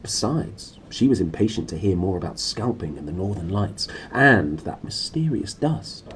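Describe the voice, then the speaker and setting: A man's voice: low-pitched, very animated, normal speed, slight background noise. A man in his thirties reading a storybook aloud expressively in a small room, recorded on a nearby camera. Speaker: storybook reader. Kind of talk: expressive read-aloud narration.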